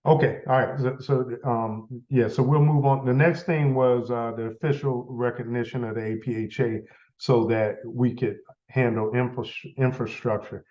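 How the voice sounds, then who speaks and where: A man with a cold, sounding a little stuffy, leading a members' meeting in a halting, filler-heavy way. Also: medium pitch, fairly even intonation, darkish tone, highs cut off. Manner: halting, many fillers and restarts. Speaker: association leader running a meeting. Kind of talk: casual meeting update.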